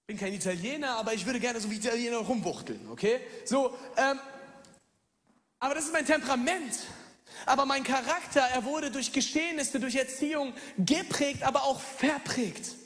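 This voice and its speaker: A pastor in his thirties from Germany preaching a lively sermon, moving from loud calls to hushed near-whispers and speaking straight to his listeners. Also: very high-pitched, normal speed, big-room sound. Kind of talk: lively sermon with personal stories.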